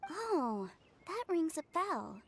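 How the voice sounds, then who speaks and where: A woman playing a caring, protective young sister in a video game, conversational, gentle but firm. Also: very high-pitched, very animated, takes it slowly, a little hiss or hum, heavily acted.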